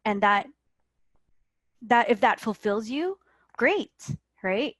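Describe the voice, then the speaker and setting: A woman's voice: fairly high pitch, lively intonation, slow, a bit bright, no room sound. A woman life coach as an interview guest, explaining her ideas earnestly and often checking in with "right?". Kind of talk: motivational interview talk.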